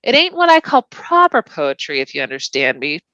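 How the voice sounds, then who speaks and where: A woman's voice: low voice, wildly swooping pitch, moderate pace, pushed and bright, dry recording, lo-fi sound, voice pushed down, full character voice. A woman voicing a humble young male hobbit with folksy rustic grammar, for a storybook. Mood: modest.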